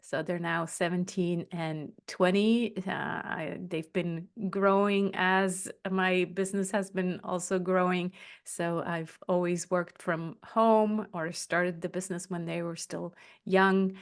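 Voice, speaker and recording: A woman's voice: on the low side, level tone, slow pace, clean tone. A middle-aged woman from Switzerland presenting a solo podcast, talking directly to listeners from loose notes. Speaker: podcast host and business coach. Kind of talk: solo podcast talk to camera, partly scripted.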